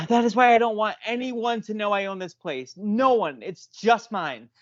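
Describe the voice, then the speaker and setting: A woman's voice: medium pitch, measured pace, no room sound, lightly acted. A woman playing a chatty, bitter married video store owner in a comic audio play, rambling and swearing freely. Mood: bitter, gossipy. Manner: rambling, repeats herself, profane. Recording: dull lo-fi audio.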